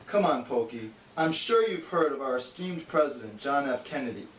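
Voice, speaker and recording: A man's voice: mid-range voice, animated, normal speed, lightly acted. A man voicing a bookish, exasperated know-it-all puppet, explaining in a didactic, slightly condescending way in an amateur educational puppet show. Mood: exasperated, sarcastic. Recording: echoey room, distant mic, hissy noise.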